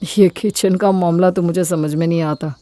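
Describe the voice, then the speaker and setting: A woman's voice: lowish voice, steady tone, moderate pace, mellow, dry recording, lightly acted. A woman voicing a mild, accommodating young husband and devoted son in an audio story, with a reassuring manner. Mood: mild, conciliatory. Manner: conciliatory, reassuring.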